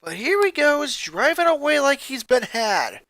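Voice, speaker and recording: A man voicing an excitable, opinionated teenage boy in a fan fiction reading, speaking loudly and emphatically. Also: very high voice, unhurried, no room sound, studio quality, voice pushed up, full character voice. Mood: excited, ranting.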